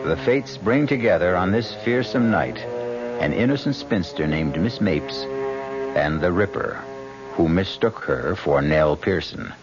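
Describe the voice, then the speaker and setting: A man's voice: low-pitched, expressive, a little slow. A man hosting and narrating a radio mystery in a dramatic, scripted style. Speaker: host and narrator. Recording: muffled old radio broadcast, music behind. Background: clear music.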